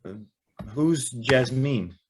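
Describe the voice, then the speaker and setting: A man's voice: medium pitch, lively intonation, drawn-out words, slight background noise. An actor playing an earnest, awestruck young man who also narrates an old-time radio drama. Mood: shy, smitten. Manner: hesitant, stammering in dialogue.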